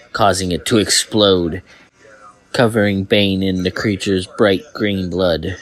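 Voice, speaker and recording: A man reading scripted fan fiction narration as a storyteller. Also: low-pitched.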